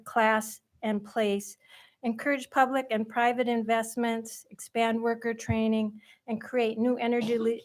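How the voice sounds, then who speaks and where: A soft-spoken woman reading prepared remarks as a constituent at a town hall, into a shared microphone. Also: fairly high pitch. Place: public meeting room. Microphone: shared venue microphone.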